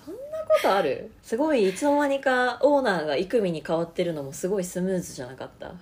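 A woman's voice: medium pitch, quick pace, breathy edge. A woman around her thirties chatting casually as a podcast co-host. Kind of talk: casual chat.

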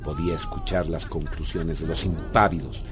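A man's voice: very deep voice, fairly even intonation, normal speed. A man narrating a radio drama in a solemn, theatrical way. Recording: music bed behind voice.

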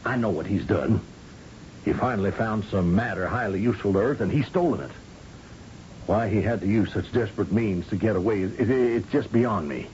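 An actor playing a shrewd, folksy, commanding space colony governor in an old-time radio drama, with hesitant asides. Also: male voice, lowish voice, normal speed, a little mellow, close to an everyday voice. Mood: indignant. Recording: muffled radio drama recording, music, noise.

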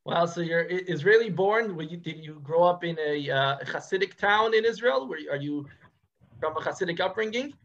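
Gravelly upbeat podcast host. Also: male voice, fairly high pitch, lively intonation, fairly quick, a bit bright, highs cut off. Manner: upbeat, encouraging interviewer. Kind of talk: podcast interview.

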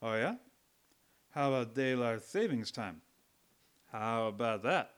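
A man's voice: mid-range voice, expressive, unhurried, clean tone, lightly acted. A man playing a stubborn, tipsy American drinking pal in a radio play, slangy and challenging, with an American accent. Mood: insistent. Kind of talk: old-time radio drama acting.

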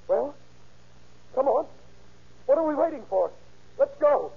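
A man's voice: extremely high pitch, expressive, vocal fry, heavily acted. Dazed young man, muffled old radio. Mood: agitated. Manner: halting, evasive, resigned. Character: dazed, defeated young man confessing to a shooting. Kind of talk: 1930s-40s radio crime drama acting. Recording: muffled old radio recording, faint noise.

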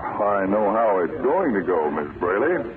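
Radio drama: calculating male intelligence chief, low voice, authoritative, thin vintage sound. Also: sing-song, normal speed, a crowd in the background. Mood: businesslike. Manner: businesslike. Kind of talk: old-time radio drama acting. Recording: tinny vintage radio recording, light noise.

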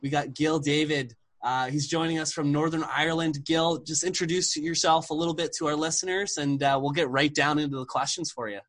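Man hosting a podcast, slightly high. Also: a little fast, few pauses. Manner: relaxed, short affirming replies. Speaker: podcast host. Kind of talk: casual interview hosting.

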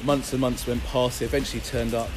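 Hoarse thirties man with a cold, mid-pitched, rambling interview guest, music under the voice. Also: level tone, quick pace. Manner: rambling, many fillers and restarts. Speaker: founder of a paddleboard company, guest. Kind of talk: casual interview chat. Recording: music playing behind.

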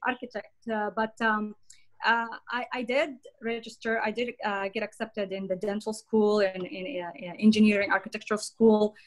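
Middle-aged woman from Jordan, interview guest, halting speech full of fillers. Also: medium pitch, level tone. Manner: hesitant, many fillers and restarts. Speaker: podcast guest. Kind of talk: conversational interview.

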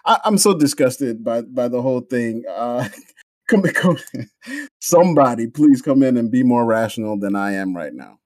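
A man's voice: mid-range voice, expressive, normal speed, a little mellow, no echo, clean studio sound. A man with a Jamaican accent co-hosting a football podcast, talking in a heated, indignant way with many restarts. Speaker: podcast co-host. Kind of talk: casual football podcast chat.

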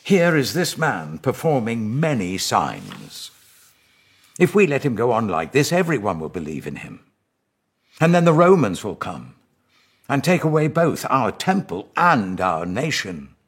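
A British man acts out an agitated priest addressing a council in a scripture story. He is urgent and forceful, with a husky, raised voice that swings widely. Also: medium pitch, huge pitch swings, unhurried, pitched above the performer's own voice, full character voice. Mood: alarmed.

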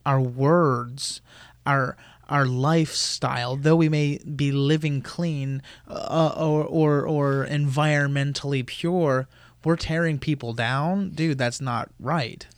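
A man's voice: fairly high pitch, steady tone, dry recording. Casual man who keeps saying dude. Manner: casual, says "dude" and "you know" often, rapid bursts. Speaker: podcast co-host.

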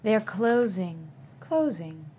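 A woman plays a female hypnotist in a thriller reading. She is soothing yet commanding, guiding her subject in a mid-pitched voice. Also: lively intonation, takes it slowly, mellow, slight background noise.

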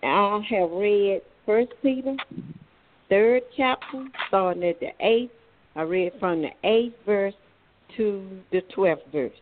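A woman from North Carolina reading scripture aloud in a measured, solemn manner. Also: mid-range voice. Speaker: scripture reader. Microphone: phone call. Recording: tinny phone line.

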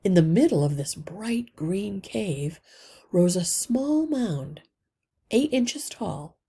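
A woman reads a children's story aloud expressively, with deliberate phrasing that drops into hushed line endings and a husky, breathy voice. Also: lowish voice. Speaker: read-aloud podcast narrator.

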